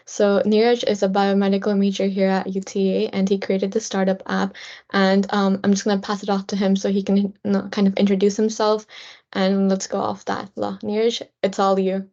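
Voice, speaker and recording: A young woman hosting a student club event, introducing the guest in a casual, quick way. Her voice stays very level and flat. The audio is dull and lo-fi. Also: mid-range voice. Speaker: student club president, host.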